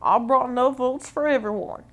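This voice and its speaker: A woman's voice: high voice, normal speed, fairly bright tone, highs cut off, pitched above the performer's own voice. A woman reading aloud and voicing a big, clumsy, cheerful male horse in a storybook. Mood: eager.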